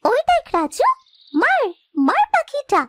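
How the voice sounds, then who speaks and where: A performer voicing an excitable young village child at play in a cartoon. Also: extremely high pitch, measured pace, no echo, studio quality, heavily acted. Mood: excited. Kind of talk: cartoon voice acting.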